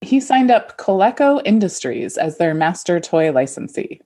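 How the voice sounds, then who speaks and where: Lower-voiced woman, lively podcast chat. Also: normal speed. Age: 40s. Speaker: podcast co-host. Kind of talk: casual chat.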